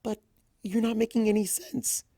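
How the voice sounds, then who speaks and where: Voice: mid-range voice, little pitch movement, moderate pace, creaky, dry recording, studio quality, pitched above the performer's own voice. A performer voicing a shy, sweet young female pony with a hesitant, stammering way of speaking, in a story reading. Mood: flustered. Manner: hesitant, stammering, soft-spoken.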